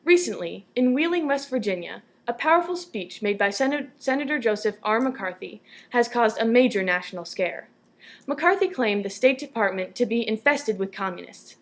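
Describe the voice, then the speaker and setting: Slightly high-voiced young female newsreader. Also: animated, normal speed. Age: young adult. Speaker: news anchor. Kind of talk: scripted newscast narration.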